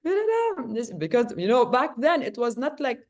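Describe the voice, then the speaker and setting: A woman talking as an interview guest. She tells her story in a lively, animated way, with many fillers and restarts along the way. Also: fairly high pitch, normal speed. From Lebanon. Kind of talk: casual interview talk.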